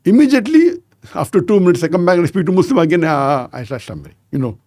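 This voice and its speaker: A man in his sixties telling anecdotes as a podcast guest, measured and sprinkled with "you know". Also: sits high, sing-song, warm tone, no echo. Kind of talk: conversational storytelling interview.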